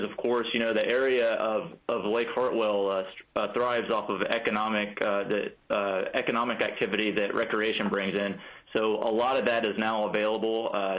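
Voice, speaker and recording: A man with an American accent gives official answers in a live phone interview. His voice is fairly low, and he speaks in a measured but halting way, often restarting his words. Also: fairly even intonation, a bit bright, low-quality recording. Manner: halting, measured, with repeated false starts. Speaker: agency spokesman, interview guest.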